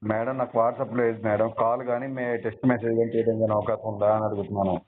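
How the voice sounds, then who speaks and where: Male caller in his twenties, quick speech, thin telephone sound, deferential, hesitant, casual questions. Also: lowish voice, level tone. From rural village in Telugu-speaking India. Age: 20s. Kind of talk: casual phone conversation. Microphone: telephone call. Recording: tinny phone line with faint noise.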